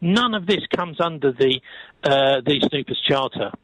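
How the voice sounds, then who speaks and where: British bloke on talk radio as a guest, bit high pitched, rambly explaining, dry sound. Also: male voice, lively intonation, normal speed, a bit bright, dry recording, low-quality recording. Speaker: radio phone-in guest commentator. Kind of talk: conversational political commentary.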